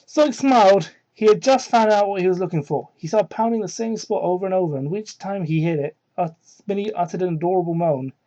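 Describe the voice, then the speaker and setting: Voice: medium pitch, a little fast, mellow, muffled recording. A person giving a mocking dramatic reading of fan fiction, breaking off into exasperated, incredulous, foul-mouthed asides, on a poor-quality hobby microphone. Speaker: amateur reader of fan fiction.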